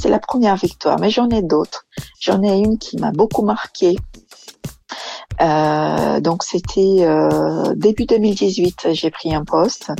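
A woman's voice: lowish voice, creaky, warm tone. A woman from Brazil, an engineering manager answering interview questions hesitantly with many fillers and restarts.

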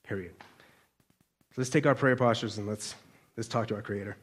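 A man's voice: on the low side, moderate pace. A man giving an informal, conversational sermon as a preacher, colloquial and often checking in with listeners.

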